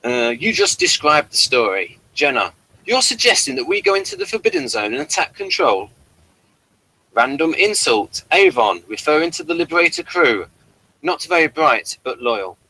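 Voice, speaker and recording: Bright-voiced man reading out an episode guide. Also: on the high side, wildly swooping pitch, a little slow, vocal fry, poor audio. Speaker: host of a TV episode review show. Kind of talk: reading aloud from an episode guide with off-the-cuff asides.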